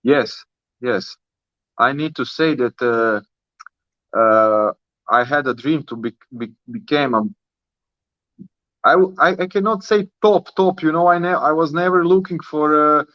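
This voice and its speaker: A man in his early thirties speaks with a Croatian accent and a fairly high voice. His English is halting and non-native, with repeated words and rephrasing. He talks casually as an interview guest, with a slightly dull recording. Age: early 30s. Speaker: guest, professional footballer. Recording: roomy, slightly dull sound.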